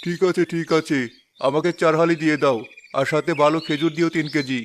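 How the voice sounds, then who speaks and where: A man voicing a calm, kind, well-off father in a cartoon, speaking gently. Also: on the high side, a little fast, slight background noise, lightly acted. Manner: gentle, soft-spoken. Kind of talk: cartoon voice acting.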